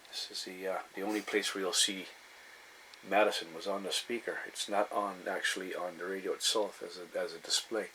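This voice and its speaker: A man talks off the cuff, like a collector showing an item to fellow hobbyists. His delivery is halting and wanders back over the same points. Faint background noise sits under the voice. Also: deep voice, fairly bright tone, poor audio. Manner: halting, meandering, repeats himself. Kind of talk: casual unscripted show-and-tell narration. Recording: clear background noise, room ambience.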